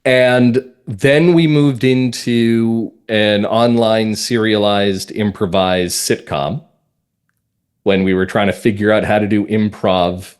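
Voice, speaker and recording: Low-voiced man telling stories in an interview. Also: steady tone, slow pace, smooth. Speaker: podcast guest, audio drama writer and producer. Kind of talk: casual interview chat.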